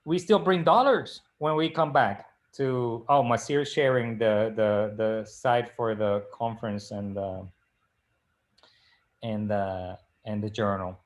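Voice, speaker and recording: A middle-aged man from the Dominican Republic, co-hosting a casual video-call discussion, speaking haltingly with many fillers and restarts. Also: on the low side. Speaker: librarian and co-host. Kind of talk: casual live-stream discussion.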